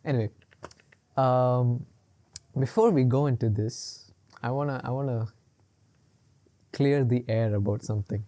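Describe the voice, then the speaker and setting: A man's voice: medium pitch, measured pace, long pauses, mellow. A man co-hosting a casual podcast, rambling along with lots of fillers and laughing often. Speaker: podcast co-host. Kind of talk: casual chat.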